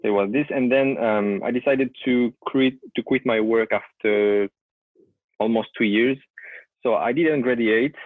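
A young French man with a French accent, a photographer telling his story in a casual interview, often halting and repeating words as he searches for English. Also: mid-range voice, no room sound. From France. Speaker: guest photographer. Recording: tinny call audio, dry.

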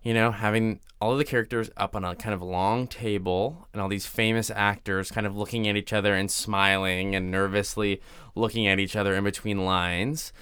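Low, smooth-voiced man chatting on a podcast. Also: fairly even intonation, moderate pace, a bit bright, dry recording, studio quality. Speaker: podcast co-host. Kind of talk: casual chat.

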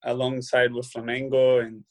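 A man's voice: medium pitch, moderate pace. Brief: young Brazilian man, Portuguese-accented English, easygoing interview guest, laughs easily. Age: young adult. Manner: relaxed, many "you know" fillers, laughs easily. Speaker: guest, professional footballer. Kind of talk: casual interview chat.

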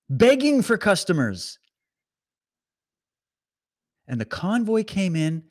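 A man hosting a political commentary stream, talking straight to his viewers with animated sarcasm. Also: high voice. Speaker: commentary host.

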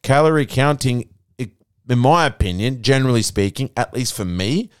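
A man, hosting a podcast in casual chat, telling stories in an animated way with lots of "you know". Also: medium pitch, moderate pace, clear, resonant tone, dry recording, studio quality. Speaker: podcast host.

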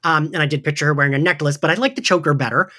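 A man's voice: a little high, racing, sharp tone. A man hosting a casual podcast discussion in a giggly, agreeable manner. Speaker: podcast host leading a discussion. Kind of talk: casual chat.

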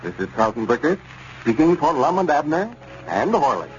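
A man with an American accent reading a scripted sponsor message as a 1930s radio announcer, in a polished, measured way. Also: fairly high pitch, very animated. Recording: muffled, noisy 1930s radio recording.

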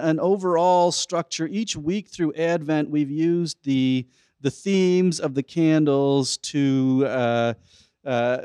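A man hosting a church podcast in a casual chat, relaxed and rambling with many fillers. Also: fairly high pitch, smooth, no echo, clean studio sound. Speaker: podcast host.

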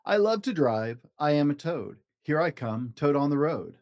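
A man's voice: on the high side, sing-song, normal speed, darkish tone. A man voicing a cheerful, careful storybook toad who loves driving, in a rhythmic sing-song. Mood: cheerful, eager. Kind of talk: children's storybook read-aloud.

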